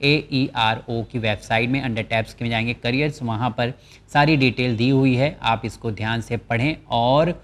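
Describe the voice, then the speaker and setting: A man's voice: medium pitch, fairly even intonation. A man hosting a channel, walking viewers through a government job notice. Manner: explanatory, reads English terms from the notice slowly. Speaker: channel host presenting job vacancies. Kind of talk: informational walkthrough of a job notice.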